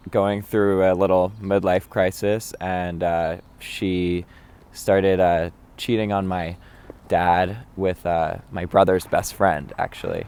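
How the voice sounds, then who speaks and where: A young man from New York talks about his childhood in a loose, animated way. His voice is low and clean, and he slips into goofy voices when he quotes people. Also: smooth. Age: young adult. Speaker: interviewee, young artist. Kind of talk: casual personal storytelling to camera.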